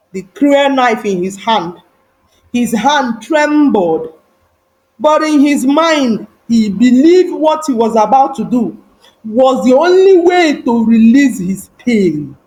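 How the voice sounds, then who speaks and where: A woman storyteller with a fairly high voice. She narrates a moral folktale very slowly, with solemn emphasis and dramatic, deliberate phrasing. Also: animated, a little mellow, poor audio.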